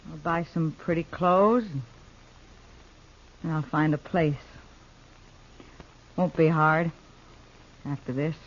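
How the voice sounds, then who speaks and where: A woman's voice: low-pitched, fairly even intonation, takes it slowly, pauses often. An actress playing a tough, self-reliant frontier ranch woman in a radio western, terse and plain-spoken. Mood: hurt, resolute. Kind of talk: 1950s radio western acting. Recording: dull old radio recording.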